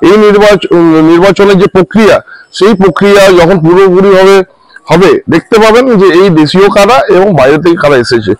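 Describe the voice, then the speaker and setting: A man's voice: high-pitched, fast talker, rough recording. A man, speaking as a party spokesperson in a statement to the press.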